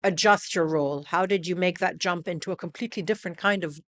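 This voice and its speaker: A woman's voice: on the low side, fast talker, fairly bright tone. A woman hosting an interview podcast, speaking in a supportive way and filling in with frequent short affirmations. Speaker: podcast host. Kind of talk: conversational interview.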